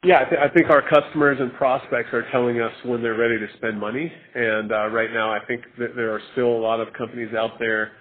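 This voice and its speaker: A man answering investor questions on a conference call as a company executive, in measured, hesitant corporate phrasing. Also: mid-range voice, steady tone. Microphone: telephone conference call. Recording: narrowband phone-like conference line.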